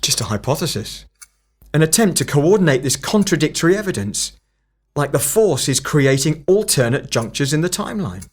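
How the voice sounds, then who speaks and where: A slightly high-voiced male investigator of strange phenomena acted by a man in an audio drama. He is terse and analytical, speaking in deliberate, matter-of-fact phrases. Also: sing-song. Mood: brooding, analytical. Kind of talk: fan-made audio drama acting.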